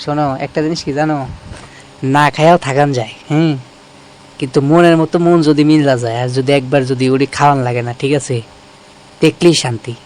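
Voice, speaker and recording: A man's voice: fairly high pitch, fairly even intonation, a little fast, a little mellow. A man with a Bangladeshi accent in an intimate, casual phone chat, talking in a coaxing, romantic way. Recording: noisy phone call recording.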